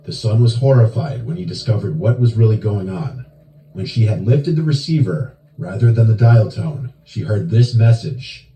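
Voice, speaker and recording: A man's voice: fairly low pitch, normal speed, warm tone, slight background noise. Male horror narrator in a roomy echo. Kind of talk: scripted narration of true creepy stories. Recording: roomy echo with music behind. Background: background music.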